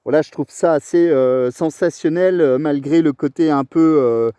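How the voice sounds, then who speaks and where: A chatty Frenchman with a slightly high, mellow voice. He rambles along enthusiastically in long, casual turns as a radio operator. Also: normal speed, smooth, dry recording, studio quality. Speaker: amateur radio operator recording his contact.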